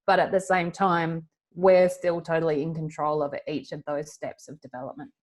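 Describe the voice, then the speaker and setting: Lower-pitched woman explaining matter-of-factly. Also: level tone, normal speed, a little mellow. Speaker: tech founder, panellist. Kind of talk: explanatory panel answer. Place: online video call.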